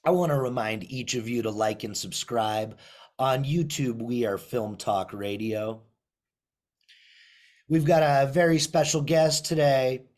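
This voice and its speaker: A man's voice: mid-range voice, measured pace, a little mellow. A man hosting a film radio show, reading a formal guest introduction and asking questions. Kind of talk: scripted introduction then interview questions.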